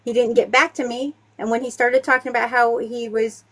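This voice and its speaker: A woman's voice: fairly high pitch, flat delivery, brisk, fairly bright tone. A woman questioning debaters in a live online debate, pressing a technical grammar point and talking over the others.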